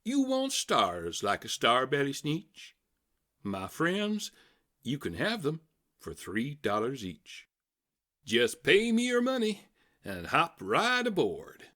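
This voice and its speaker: A man's voice: medium pitch, huge pitch swings, slow pace, pushed and bright, voice pushed up, full character voice. A man voicing a sly, eager fix-it salesman creature in a storybook, with grand, persuasive patter. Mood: brisk hard sell.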